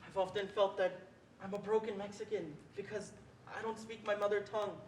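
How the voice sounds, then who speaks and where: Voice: mid-range voice, fairly even intonation, a little fast, close to an everyday voice. A young performer playing an earnest narrator who recalls a frightened childhood, in scripted stage narration. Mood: wistful. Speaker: student reader in a reader's theatre performance. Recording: roomy echo with faint background noise.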